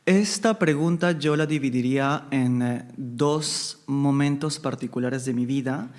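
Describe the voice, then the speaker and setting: A man from Italy sits in as a podcast guest, talking in a measured, reflective way. He pauses to find his words and breaks into laughter often. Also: medium pitch, a little mellow. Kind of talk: casual conversation.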